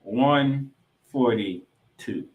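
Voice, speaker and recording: A man's voice: mid-range voice, very slow, phone-quality sound. A man hosting a sports podcast, recapping football in casual chat with an announcer-like delivery of scores and matchups.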